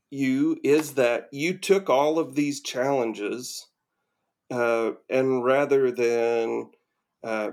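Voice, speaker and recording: A man hosting a podcast interview, speaking in an unhurried way with halting restarts. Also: medium pitch, a little mellow. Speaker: podcast host. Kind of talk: conversational interview.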